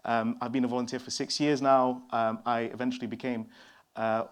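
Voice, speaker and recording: Man giving a relaxed conference talk. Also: medium pitch, a little fast, clean tone. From São Tomé and Príncipe. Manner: relaxed, many fillers and restarts. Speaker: conference speaker. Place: conference stage before an audience.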